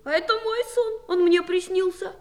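A woman's voice: extremely high pitch, fairly even intonation, moderate pace. A woman playing a stubborn, eager little boy in a 1960s audio play, with childlike, insistent speech.

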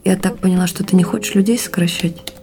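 Mellow female voice, slightly low, practical supportive wife, gently teasing, intimate radio drama style, faint music. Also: steady tone, fast talker, creaky. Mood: curious, gentle. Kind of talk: intimate conversational audio drama.

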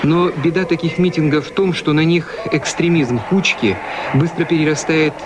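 A man's voice: a little high, steady tone, normal speed, noisy background. A man delivering a news report commentary, recorded as archive audio from the street.

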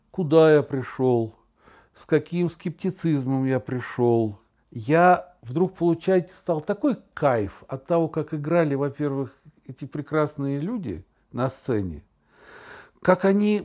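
Middle-aged male podcast host, lively swinging intonation, emphatic and stumbling over words as he muses. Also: on the high side. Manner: animated, emphatic, halting with stammered repetitions. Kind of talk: casual reflective conversation with anecdotes.

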